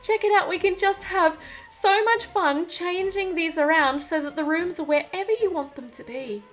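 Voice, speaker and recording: A woman's voice: very high voice, moderate pace, a bit bright, faint music behind. A woman presenting a toy build in a chatty, playful, gushing way, talking straight to young viewers. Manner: talks to the viewer. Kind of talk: chatty build-along narration for children.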